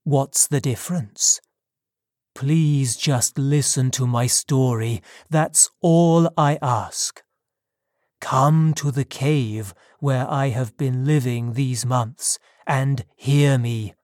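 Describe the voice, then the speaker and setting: A narrator voicing a lonely, wronged male monster in an audiobook story, pleading yet menacing, with slow, deliberate delivery. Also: medium pitch, darkish tone, dry recording, studio quality, natural voice. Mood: quiet, pleading. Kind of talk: dramatised audiobook dialogue.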